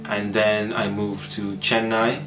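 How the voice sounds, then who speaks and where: A young man speaks steadily in a lowish voice, with small pauses as he recalls his moves. The room is echoey, and guitar music plays behind him. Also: flat delivery. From India. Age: young adult. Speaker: student. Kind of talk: personal story told to camera.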